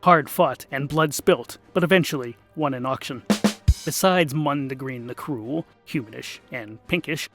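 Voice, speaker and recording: A man's voice: mid-range voice, a little slow, music in the background. A man narrating a comic fantasy audio drama in a wry, mock-epic, emphatic theatrical style.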